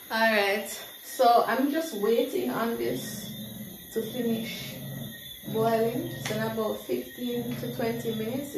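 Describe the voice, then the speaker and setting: A young woman with a Jamaican accent chatting casually through a late-night cooking vlog, relaxed and laughing often, recorded by a camera across a bare concrete kitchen. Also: fairly high pitch, far from the mic, noisy background. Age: young adult. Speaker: cooking vlogger. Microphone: camera on tripod across the room. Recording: echoey room, voice from afar, loud music. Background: loud background music.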